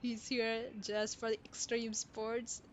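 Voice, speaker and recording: A woman's voice: on the high side, flat delivery, takes it slowly, a little hiss or hum. A woman livestreaming a game, giving casual running commentary as she plays. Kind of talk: casual live playthrough commentary. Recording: game music behind the voice.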